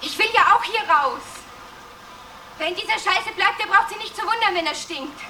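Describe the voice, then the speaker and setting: A very high-pitched young woman from the streets, defiant, mocking and desperate, in a gritty radio drama. She talks in coarse slang, heard from a distance over clear background noise. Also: moderate pace, pushed and bright, heavily acted. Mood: bitter, resigned.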